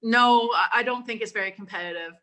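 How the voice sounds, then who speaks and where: A bright, clear-toned woman in her forties chats on a video call about coaching. She grew up in Canada, and her accent mixes Canadian and Australian. She talks in an upbeat, lively way and laughs easily. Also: fairly high pitch, fast. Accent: mix of Canadian and Australian. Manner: chatty and upbeat, laughs often. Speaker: volleyball coach, guest. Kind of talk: casual interview chat.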